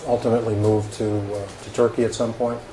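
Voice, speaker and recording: A man questioning a witness in a formal deposition, halting and full of hesitations and restarts. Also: on the low side, level tone. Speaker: examining attorney. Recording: echoey room with background noise.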